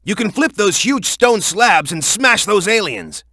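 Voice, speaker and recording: A man's voice: high voice, very animated, measured pace, bright, forceful tone, no echo, studio quality, full character voice. An American man voicing a tough, hot-headed, heroic young cartoon echidna in a video game, urgent and gung-ho in manner. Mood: urgent instructing. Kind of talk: animated video game voice acting.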